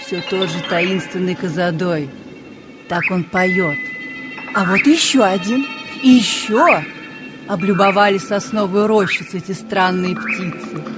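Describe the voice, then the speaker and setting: An actress playing a calm, gently teasing mother hedgehog in a children's audio play, explaining things patiently and tenderly. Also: on the low side, measured pace, over music, lightly acted.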